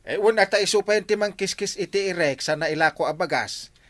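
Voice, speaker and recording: A man with an Ilocano Filipino accent playing an excitable, folksy village captain in a comedy drama, full of exclamations. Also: high-pitched, a little fast, dry recording. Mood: chatty. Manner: exclamatory, many interjections.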